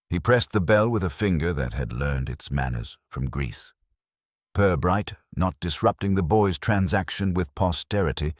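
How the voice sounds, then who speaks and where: A man narrates literary fiction in a very deep voice with lively rises and falls. His delivery is formal and wryly measured, with a husky grain. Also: dry recording, studio quality. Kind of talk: scripted literary audiobook narration.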